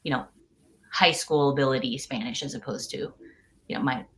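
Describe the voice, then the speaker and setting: A woman's voice: rumbling low, moderate pace, a bit bright. A woman in her thirties from the United States, a podcast guest talking casually from home over a call, chatty and laughing often.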